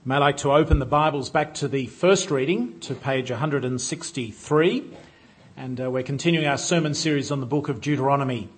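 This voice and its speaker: Husky preacher man. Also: mid-range voice. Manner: measured, with frequent "uh" fillers. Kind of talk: sermon. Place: church.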